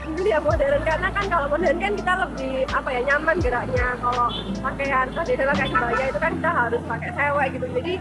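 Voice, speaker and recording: A young woman in her twenties gives casual interview answers in East Javanese-accented Indonesian. Her pitch is high, and loud music plays behind her. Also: fast talker. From Surabaya, East Java, Indonesia. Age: 20s.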